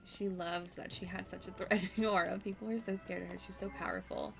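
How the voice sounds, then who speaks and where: A woman's voice: on the low side, steady tone, quick pace, vocal fry. A woman giving casual, rambling commentary as she streams a game, with playful, giggly asides. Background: game music.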